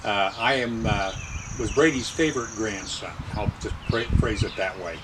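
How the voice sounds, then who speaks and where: A man's voice: on the low side, normal speed. A man in his seventies joking lightly while sharing family memories in an interview. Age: 70s. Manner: joking, laid-back. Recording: distant mic, outdoor noise. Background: insects and birds.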